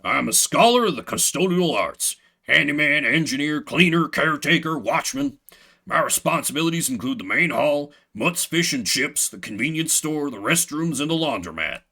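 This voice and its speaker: Man playing a solemn, grandiose janitor with swooping pitch. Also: expressive, normal speed, vocal fry, pushed and bright, slightly rough, full character voice. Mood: grave, dutiful. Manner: formal, grave, speaks of chores like military duty. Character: solemn, grandiose adult male janitor devoted to maintenance.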